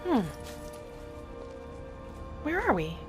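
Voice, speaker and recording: A woman playing a gentle, matter-of-fact wood elf wanderer, musing aloud in measured, short phrases as in-character game roleplay. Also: high voice, wildly swooping pitch, full character voice. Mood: friendly, calm. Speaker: roleplay gamer narrating in character. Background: background music.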